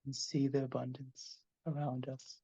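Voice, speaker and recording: A man hosting a live guided meditation over a video call, speaking slowly and softly. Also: mid-range voice, completely flat delivery, husky, no room sound, dull audio. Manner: slow, soft, soothing delivery. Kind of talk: guided meditation, then casual chat.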